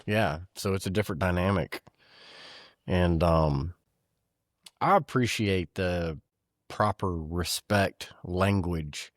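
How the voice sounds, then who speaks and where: Very deep-voiced folksy man. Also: no room sound, clean studio sound. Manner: folksy, curious, quick reactions. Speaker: podcast host. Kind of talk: conversational interview.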